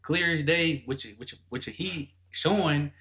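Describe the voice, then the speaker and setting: Bright, incredulous man reacting casually, noisy recording. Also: fairly high pitch, takes it slowly. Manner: incredulous, slangy, repetitive. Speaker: reaction video host.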